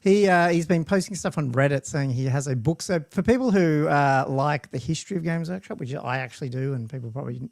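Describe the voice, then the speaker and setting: A man's voice: on the high side, brisk, creaky, few pauses, a little mellow, dry recording, studio quality. A man with an Australian accent, hosting a chatty tabletop gaming podcast in a rambling, laughing way. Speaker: podcast host. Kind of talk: casual podcast chat.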